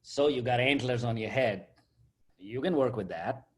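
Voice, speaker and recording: A man's voice: mid-range voice, moderate pace, husky, close to an everyday voice. A man playing a laid-back buddy who is eager for action, in a script table read.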